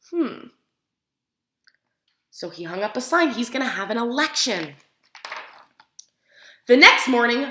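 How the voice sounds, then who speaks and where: Animated, high-voiced woman teacher reading to children. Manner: expressive, with questions to listeners and spelled-out words. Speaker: preschool teacher reading aloud. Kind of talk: picture-book read-aloud for young children. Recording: roomy, echoing room sound.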